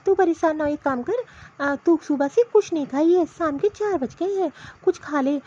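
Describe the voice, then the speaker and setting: A woman's voice: sits high, normal speed, warm tone, close to an everyday voice. A woman voicing a protective middle-aged mother-in-law in a cartoon family story, reproachful and insistent, piling up pointed questions. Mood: concerned, coaxing. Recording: dull, lo-fi cartoon voice track.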